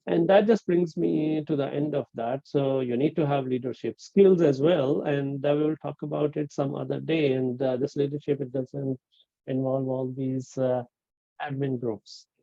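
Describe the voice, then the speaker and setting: Gravelly-voiced Pakistani man, dark and dry. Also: mid-range voice, fairly even intonation, moderate pace, no room sound, lo-fi sound. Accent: Pakistani English. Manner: explanatory, loose, many fillers and restarts. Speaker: webinar speaker on medical training. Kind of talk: informal webinar talk.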